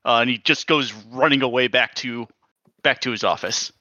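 A man's voice: medium pitch, moderate pace, bright, forceful tone, no room sound, dull audio. A man narrating as game master in a breezy, rambling way with fillers.

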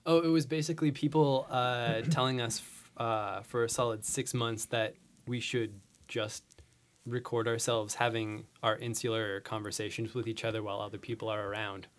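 A man's voice: medium pitch, little pitch movement, moderate pace. A man chatting casually as a guest on a podcast. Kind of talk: casual chat.

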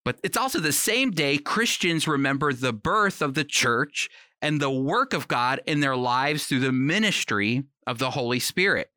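A man hosting a radio Bible program, teaching in a conversational, earnest and emphatic way with deliberate repetition. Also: mid-range voice, animated, pushed and bright, smooth, no room sound, studio quality.

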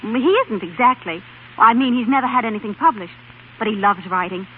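An actress playing a devoted, eager young wife in a polite 1930s radio drama style. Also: female voice, a little high, fairly quick, natural voice. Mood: earnest, hopeful. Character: bright, devoted young wife pleading her husband's case. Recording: muffled, noisy 1930s radio recording with hum.

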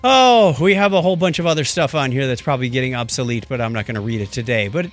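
A man co-hosting a podcast in casual chat, animated and joking, given to exclamations. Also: medium pitch, quick pace, soft background music. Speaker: podcast co-host.

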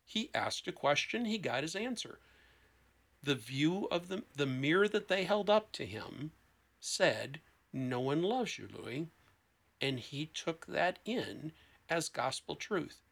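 A man talking conversationally as a podcast guest, telling stories in deliberate, short emphatic phrases. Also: a little high, very animated, gravelly, fairly bright tone. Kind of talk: conversational storytelling.

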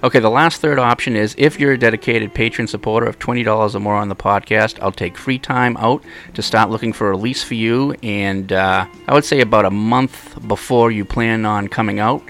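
A man's voice: on the low side, fairly quick, few pauses, fairly bright tone. Chatty man hosting a podcast. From Boston. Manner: chatty, rambling, acts out quoted people. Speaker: podcast host. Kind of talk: casual podcast chat.

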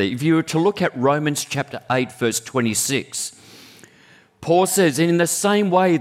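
A man preaching a sermon in a conversational, animated way, breaking into sudden high exclamations.

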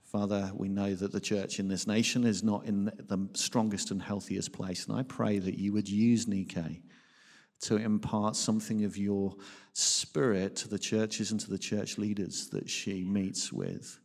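Church host: man, lowish pitch, informal live delivery, long hall reverb. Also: flat delivery, a little slow, a little mellow. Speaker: church host interviewing guest and leading prayer. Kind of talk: informal live church interview and spoken prayer.

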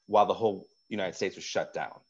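A very low, deep-voiced man in his forties, originally from Wisconsin. He tells stories freely as a guest in a relaxed conversation, filling his speech with "like" and "um". His audio is slightly dull and muffled. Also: fast, husky. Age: 40s. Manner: animated storyteller, frequent "like" and "um". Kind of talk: casual conversation.

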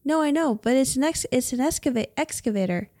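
A woman co-hosting a casual podcast, her voice sitting a little high. She keeps asking probing questions and breaks into laughter often. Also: animated, quick pace, warm tone. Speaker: podcast co-host. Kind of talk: casual chat.

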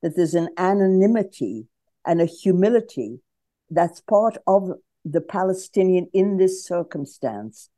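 A woman's voice: lowish voice, expressive, a little slow, dark tone, no room sound. A woman interviewing for radio, asking reflective questions and searching for her words.

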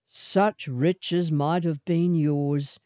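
Woman acting a low, brooding swagman. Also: fairly even intonation, very slow, mellow, dry recording, clean studio sound. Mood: bitter. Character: roguish, defiant male outback swagman.

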